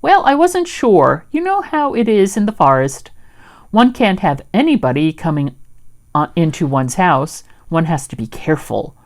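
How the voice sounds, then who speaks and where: A woman voicing a fussy, cautious, prim male rabbit in a children's storybook, brisk and polite but stern. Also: fairly low pitch, very animated. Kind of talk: children's storybook read-aloud.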